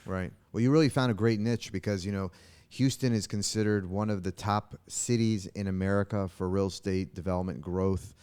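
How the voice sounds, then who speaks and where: Deep, smooth-voiced male interviewer. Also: steady tone, a little fast, hardly any pauses, a little mellow. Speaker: talk show host, interviewer. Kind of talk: casual interview conversation.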